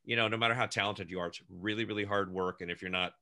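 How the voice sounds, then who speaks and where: A man's voice: lowish voice, steady tone, quick pace, sharp tone. A man in his sixties, co-hosting a casual interview, reminiscing with frequent laughs and restarted phrases. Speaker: co-host, former child actor. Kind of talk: casual video-call chat.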